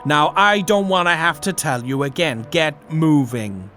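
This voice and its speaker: A man's voice: fairly high pitch, a little slow, pushed and bright, soft background music. A man playing an impatient military policeman in an audio drama, bossy and brusque. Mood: brusque, irritated. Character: gruff, impatient American military policeman on guard. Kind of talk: scripted audio drama acting.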